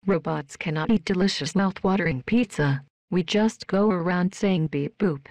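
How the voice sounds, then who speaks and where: A woman voicing a polite, emotionless female robot worker in a video game, with flat, stilted, deadpan delivery. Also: lowish voice, normal speed, dry recording. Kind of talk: scripted video game voice lines.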